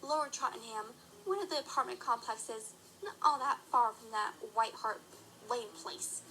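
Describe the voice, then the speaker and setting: A woman's voice: on the high side, normal speed, bright, forceful tone, rough recording. A woman voicing a proud, down-on-her-luck pony magician in an audio drama, grumbling theatrically in the third person. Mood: defensive.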